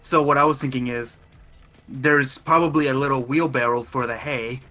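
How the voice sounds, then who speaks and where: A man's voice: mid-range voice, steady tone, moderate pace, sharp tone. A man chatting casually as a player in a tabletop game. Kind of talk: casual group game chat. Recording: faint music under the voice.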